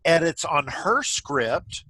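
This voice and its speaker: Man, host of an online meeting. Fairly high voice, dry sound, stop-and-start casual talk. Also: sing-song, slow, bright, forceful tone, husky, no room sound. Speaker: host of a virtual play reading. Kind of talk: casual discussion, wrapping up a meeting. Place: indoors on a video call. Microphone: video call microphone.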